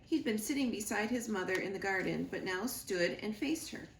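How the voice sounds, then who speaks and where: A woman reading a storybook aloud to children in an expressive, deliberate storytelling way, as a school librarian. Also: mid-range voice, gravelly. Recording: roomy echo, noticeable background noise.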